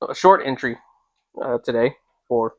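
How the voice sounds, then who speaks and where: A man reading and discussing a classic novel as host, in an informal, measured, slightly hesitant way. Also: mid-range voice, animated, a little mellow, dry recording. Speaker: reader and host. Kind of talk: dramatic literary reading with casual commentary.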